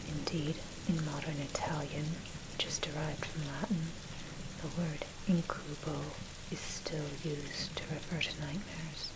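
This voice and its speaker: A woman whispers a scripted ASMR narration in a breathy, measured, scholarly way. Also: sits low. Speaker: narrator reading own history blog post. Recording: loud rain noise over voice. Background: rain and thunder sounds.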